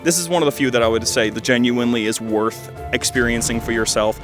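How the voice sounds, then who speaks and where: Male game video host, twenties, casual and rambling, enthusiastic, lower-pitched middle register, clear music behind him. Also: steady tone, fast. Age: 20s. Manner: rambling, enthusiastic, jokey asides. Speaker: video host reviewing fan games. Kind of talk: casual commentary.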